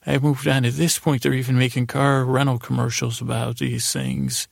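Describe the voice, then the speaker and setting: A man hosting a podcast, delivering a rambling bedtime monologue in a gravelly voice, halting and often interrupting himself as he meanders along. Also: mid-range voice, no room sound, studio quality. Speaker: podcast host.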